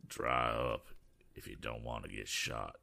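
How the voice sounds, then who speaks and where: Guy doing a threatening robber, dropped low and soft, rough growl. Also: fairly even intonation, a little slow, full character voice. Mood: menacing. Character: menacing masked male highway robber.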